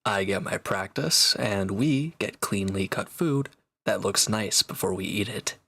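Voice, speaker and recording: A man playing a proud, teasing reformed villain and swordsman in an intimate audio roleplay, talking cockily to one listener in a gravelly voice. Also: on the low side, a little slow, bright, forceful tone, exaggerated voice. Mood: defensive, dry.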